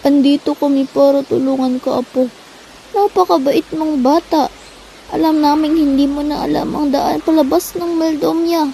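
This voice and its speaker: A woman's voice: high voice, fairly even intonation, moderate pace, vocal fry, dark tone, slight background noise, low-quality recording. A young woman voicing a grateful, kindly elderly villager in a children's puppet show.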